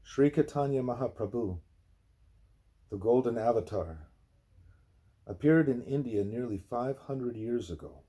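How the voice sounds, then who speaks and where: A man reading a spiritual book aloud in a measured, explanatory way, with a husky voice. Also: mid-range voice, expressive, mellow. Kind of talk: read-aloud scripted narration.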